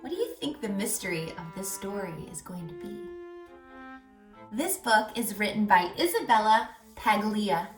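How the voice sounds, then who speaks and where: A woman reading aloud to children as a reading teacher, expressive and teacherly, explaining and asking questions. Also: medium pitch, moderate pace. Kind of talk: children's read-aloud with questions to young listeners. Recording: echoey room, distant mic, music.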